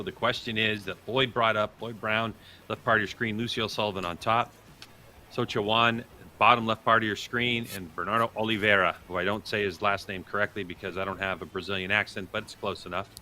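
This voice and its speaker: A man's voice: fairly low pitch, steady tone, a little fast, pushed and bright. A man hosting a live sports watch party, commentating on the action as it happens. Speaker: host and commentator. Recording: noticeable background noise.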